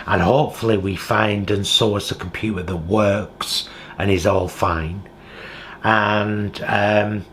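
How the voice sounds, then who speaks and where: A man's voice: on the low side, a little hiss or hum. A British man talking in a heartfelt, reflective vlog monologue from his home kitchen. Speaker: vlogger.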